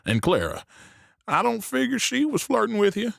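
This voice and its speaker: A man plays a protective, shrewd saloon boss in an audio drama. He speaks in a measured, knowing way with a very mobile pitch, close and dry. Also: huge pitch swings, gravelly, a bit bright, no room sound, full character voice. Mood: wry. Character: shrewd, protective Old West saloon owner.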